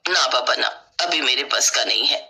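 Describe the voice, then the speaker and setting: A woman's voice: rumbling low, animated, moderate pace, gravelly, bright, forceful tone, faint music behind, voice pushed down, heavily acted, rough recording. A woman voicing a superstitious older mother who complains bluntly in a family story. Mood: grumbling refusal. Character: grumbling, superstitious older mother with bad knees.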